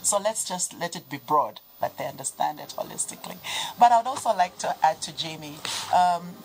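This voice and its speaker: A woman's voice: fairly low pitch, animated, fairly quick, gravelly, low-quality recording. A woman with a Zambian accent speaking as a guest on a studio panel, emphatic and persuasive, addressing listeners directly. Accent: Zambian English.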